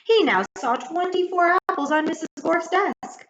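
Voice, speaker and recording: A woman narrating a children's story aloud in an expressive, dramatic, carefully enunciated way. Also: very high-pitched, normal speed, slight background noise. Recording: echoey room, slightly muffled sound.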